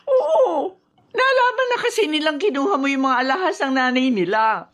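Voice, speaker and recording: A scheming mistress in a radio drama. She complains emphatically in a high voice with very lively pitch movement, always sounding hard done by. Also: normal speed. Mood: agitated. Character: aggrieved, scheming mistress complaining to her lover.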